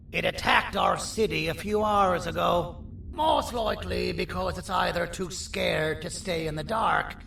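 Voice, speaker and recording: A man playing a sinister, self-important monster surgeon in a video game, pompous, theatrical and condescending, with a gravelly voice. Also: high voice, sharp tone, full character voice. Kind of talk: video game villain character acting. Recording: roomy echo, distant, faint noise.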